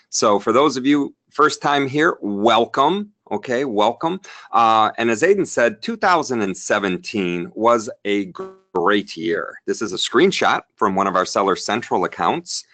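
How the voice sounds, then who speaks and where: A man's voice: lowish voice, fairly bright tone. A man taking over a live sales webinar, enthusiastic and hyped-up as he presents.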